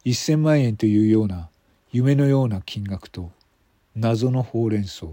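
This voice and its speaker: A man narrating a scripted mystery radio drama in measured, separate phrases. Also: fairly low pitch, mellow, no echo, clean studio sound.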